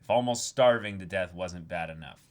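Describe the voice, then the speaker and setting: A man co-hosting a manga reading stream, talking casually in an emotive, halting and emphatic way. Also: sits low. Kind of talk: casual livestream chat and reactions.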